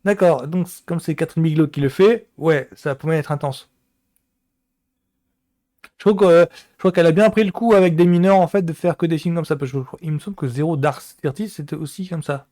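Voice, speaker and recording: A man giving a casual solo reaction to a film trailer, thinking aloud with many pauses and restarts. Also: fairly high pitch, dark tone.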